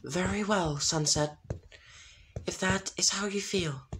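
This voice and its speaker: A reader voicing a stern, ethereal spirit passing judgment, breathy and half-whispered, in a noisy home recording. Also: medium pitch, slow pace, a bit bright, voice pushed up. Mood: stern, solemn.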